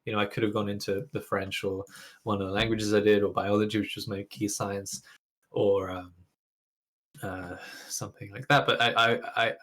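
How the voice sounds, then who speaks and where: A man's voice: fairly low pitch, flat delivery, normal speed, fairly bright tone. A young man from Ukraine with an English accent, whose first language is Russian, chatting as a guest in an interview and rambling with restarts. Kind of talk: casual interview conversation.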